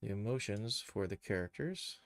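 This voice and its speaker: A man giving a casual live software tutorial, thinking aloud and muttering to himself as he works. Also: fairly low pitch, expressive, moderate pace, gravelly. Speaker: streamer, animation tutor.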